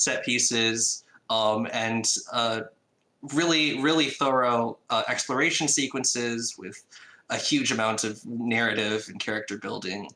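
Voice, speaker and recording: A man speaking as an indie game developer, casually pitching in a podcast interview and repeating phrases for emphasis. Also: bright, forceful tone, low-quality recording.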